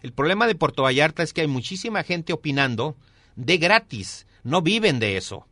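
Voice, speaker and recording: A man's voice: a little high, big pitch swings, moderate pace, pushed and bright, no room sound. A middle-aged man with a Mexican accent answering interview questions as a political candidate, emphatic and repeating key phrases for weight. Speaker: mayoral candidate and former newspaper columnist being interviewed. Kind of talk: interview answers, campaign pitch.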